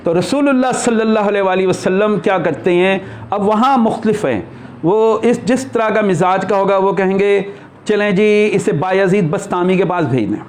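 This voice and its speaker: A man's voice: high voice, a little mellow, slight background noise. A man teaching a class in a conversational religious discourse, explaining and posing rhetorical questions. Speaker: spiritual teacher lecturing a class.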